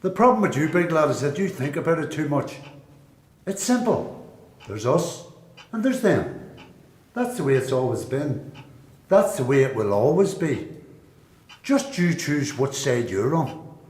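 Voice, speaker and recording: A man's voice: fairly high pitch, big pitch swings, unhurried, long pauses, a little mellow. A man playing a hard, blunt prisoner who lays down rules emphatically in a radio play. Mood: blunt, commanding. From Belfast, Northern Ireland. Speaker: amateur performer in a radio play. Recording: echoey room.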